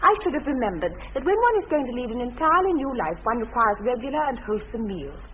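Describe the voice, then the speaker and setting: A woman's voice: a little high, sing-song, fast talker. An actress playing a sweet, naive, primly witty young English lady in a period radio comedy, speaking politely and precisely. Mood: prim, teasing. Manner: polite, precise, earnestly artless, formal. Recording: tinny, band-limited old radio sound, faint noise.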